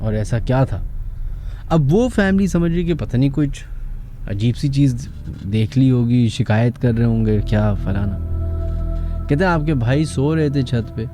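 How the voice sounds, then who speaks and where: Mellow Pakistani male late-night radio host. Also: mid-range voice, unhurried, soft background music.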